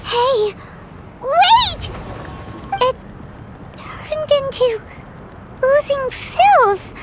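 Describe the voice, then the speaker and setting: A woman voicing a small, childlike, excitable fairy companion in a video game, with a squeaky, cartoonish voice. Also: drawn-out words, heavy background noise, full character voice. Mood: alarmed. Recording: game music behind the voice.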